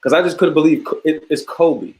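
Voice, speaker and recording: A man talking as an interview guest in a relaxed, emphatic way, full of slang, restarts and boasts. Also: a little high, fairly quick, poor audio. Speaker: podcast host, guest on the show. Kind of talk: casual interview chat.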